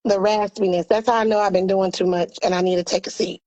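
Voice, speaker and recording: A hoarse woman co-hosting a casual video-call chat, sassy and animated. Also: medium pitch, quick pace, highs cut off. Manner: sassy, animated, calls people girl and honey. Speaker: co-host.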